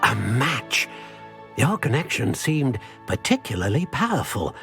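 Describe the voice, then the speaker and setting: A man's voice: medium pitch, big pitch swings, a little slow, creaky, fairly bright tone, full character voice. A man playing a courteous old wandmaker in a fantasy game, speaking formally and earnestly. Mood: kindly, knowing. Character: courteous old male wandmaker, softly knowing. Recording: music behind the voice.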